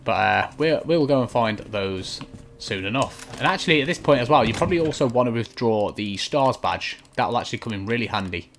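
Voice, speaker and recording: A man talks through a video game in a casual, explanatory way, guiding step by step. His pitch is average for a man. Faint keyboard and click noise sits behind his voice. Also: lively intonation, brisk. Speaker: video game walkthrough commentator. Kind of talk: casual gameplay commentary.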